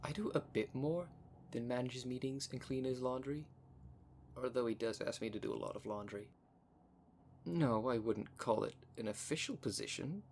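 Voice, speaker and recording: A man's voice: medium pitch, moderate pace, darkish tone, slight background noise, natural voice. A man voicing a vain, flirtatious, snobbish werecat socialite in a scripted roleplay spoken to the listener, with a pompous, haughty manner. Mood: boastful, flirtatious. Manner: pompous, haughty, smooth-talking. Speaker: voice actor in audio roleplay.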